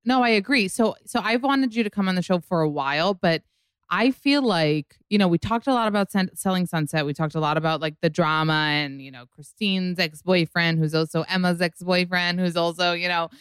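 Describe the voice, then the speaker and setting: A woman's voice: lowish voice, a little fast, clear, resonant tone, dry recording. A woman podcast host talking casually and chattily, with lots of "like" and "you know". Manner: bubbly, gossipy, many fillers like "like" and "you know". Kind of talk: casual interview chat and ad read.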